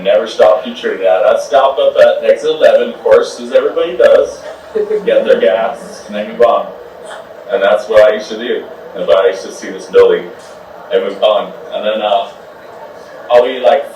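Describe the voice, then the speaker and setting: A man's voice: on the low side, a little mellow, slight background noise. A man who chairs a preservation commission, giving casual, hesitant public remarks with a self-deprecating manner. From Albuquerque, New Mexico. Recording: echoey hall, distant mic.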